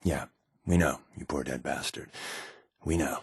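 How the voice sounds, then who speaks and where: A man's voice: a bass voice, steady tone, measured pace, creaky, slightly rough, no echo, clean studio sound, heavily acted. A man playing a wry, sardonic secret agent companion in a video game, talking casually and confidingly with a wisecracking edge. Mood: somber. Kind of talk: conversational video game voice acting.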